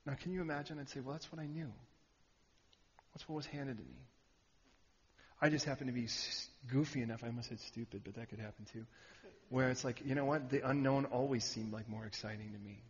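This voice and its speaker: A man preaching a sermon, animated and joking with the audience, sometimes shouting out a word. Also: mid-range voice, fairly quick. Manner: animated, shouting at peaks, jokey asides to the audience. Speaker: pastor. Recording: muffled hall recording.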